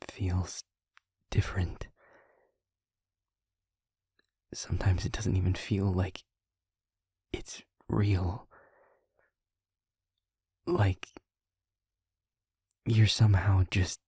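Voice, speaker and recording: Gentle male vampire, played by a man, low and slow, soothing a partner in an intimate audio roleplay. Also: dry recording, studio quality, exaggerated voice. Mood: soft, somber. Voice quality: breathy, husky, near-whispering. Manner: halting, deliberate, reassuring.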